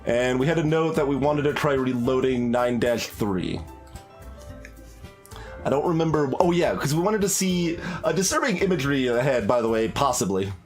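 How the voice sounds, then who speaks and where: A man streams a video game with music playing behind him. His voice sits at a middling pitch, and he talks in a casual, rambling way, thinking out loud as he plays. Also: expressive, normal speed. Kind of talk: casual playthrough commentary.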